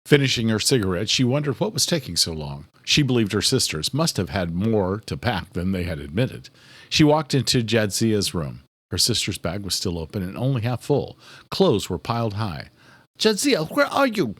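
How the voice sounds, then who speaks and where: A man's voice: fairly low pitch, very animated, moderate pace, slightly rough, no echo. A man reading a horror thriller audiobook as scripted narration.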